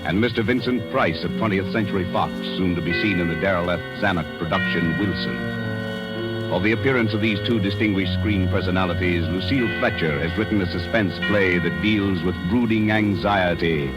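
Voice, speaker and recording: A man's voice: sits low, moderate pace. A man with an American accent delivering formal, scripted announcements in a 1940s radio style. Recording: 1940s radio broadcast, loud music.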